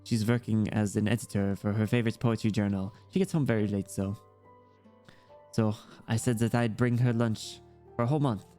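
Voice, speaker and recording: A man's voice: on the low side, steady tone, moderate pace, dark tone, close to an everyday voice. A man reading the game lines of an earnest, proud ten-year-old girl in a plain storytelling way. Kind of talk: reading visual-novel dialogue aloud. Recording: music playing behind the voice.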